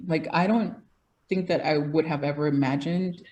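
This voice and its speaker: A woman's voice: low-pitched, steady tone, normal speed, a little mellow, dull audio. A woman hosting a casual podcast, speaking in a reflective, agreeable way. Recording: distant mic with faint noise.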